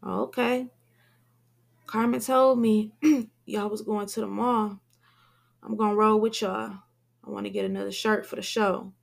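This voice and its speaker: A woman's voice: medium pitch, flat delivery, measured pace, gravelly, pauses often. A woman voicing an easygoing, friendly young man talking in casual slang.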